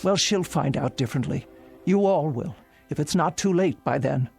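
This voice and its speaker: A woman's voice: deep voice, normal speed, gravelly, a little mellow, husky, soft background music, exaggerated voice. An older woman playing an anxious, querulous elderly invalid in a radio drama, speaking formally and insistently. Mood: fretful, anxious.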